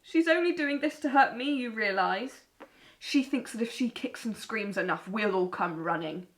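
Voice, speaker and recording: A woman acting in an audio drama as a stern, resentful middle-aged mother in wartime, with a sharp, disapproving manner. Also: fairly high pitch, animated, normal speed, lightly acted. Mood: indignant.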